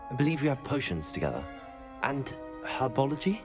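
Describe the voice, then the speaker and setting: An actor playing a calm new student wizard in a game, speaking in a measured, polite, reserved manner. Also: male voice, medium pitch, over music, lightly acted.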